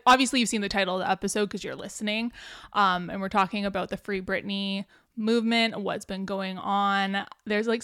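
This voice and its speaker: A young adult woman co-hosting a podcast, chatting casually and gossiping with lots of fillers and quick asides. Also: medium pitch, a bit bright, no room sound, clean studio sound. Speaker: podcast co-host. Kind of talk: casual chat.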